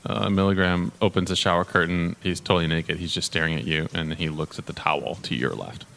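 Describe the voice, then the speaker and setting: A man's voice: sits low, steady tone, quick pace, creaky, fairly bright tone, in a large hall. A man playing a tabletop game, casually describing his character's actions as he improvises.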